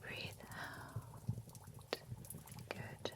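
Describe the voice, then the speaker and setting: A woman voicing a gentle female spa attendant for monsters, in a soft, teasing, whispered ASMR role-play. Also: very slow. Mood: soothing, teasing. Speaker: ASMR creator.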